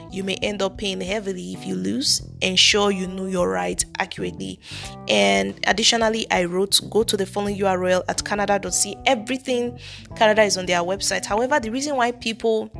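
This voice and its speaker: Woman, Nigerian accent, earnest podcast chat, fry in the voice, faint music behind. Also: medium pitch, fairly bright tone. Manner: chatty, earnest, frequent ums and restarts. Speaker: podcast host, immigration consultant. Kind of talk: casual solo podcast talk.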